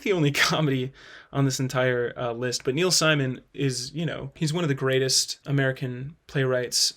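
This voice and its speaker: A man's voice: medium pitch, a bit bright. A man hosting a ranking video, giving casual, enthusiastic review commentary in an informal way. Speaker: host of a play-ranking video.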